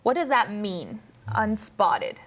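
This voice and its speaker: A woman's voice: medium pitch, very animated, takes it slowly. A young woman from Colombia leading a Bible study discussion, asking questions in a chatty way. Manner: questioning, chatty, lightly laughing. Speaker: discussion leader.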